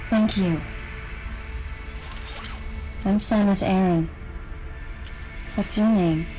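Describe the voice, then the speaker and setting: An actress playing a stoic, reserved female bounty hunter in a video game, speaking in a measured, deliberate, analytical way. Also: medium pitch, fairly even intonation. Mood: calm, reassuring. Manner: measured, deliberate, restrained delivery. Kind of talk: video game cutscene dialogue and inner-monologue narration. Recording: studio voice with loud music.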